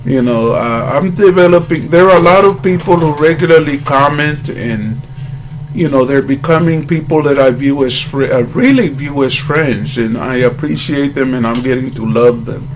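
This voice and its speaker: A man talking casually to his channel's viewers, rambling with halting restarts and earnest greetings. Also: medium pitch, slight background noise, poor audio. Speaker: YouTuber.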